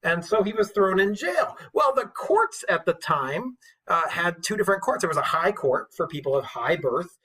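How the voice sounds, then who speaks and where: A man with a husky voice giving an informal, enthusiastic classroom lecture as an English teacher, telling stories in colloquial language. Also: sits high, sing-song, normal speed, pushed and bright.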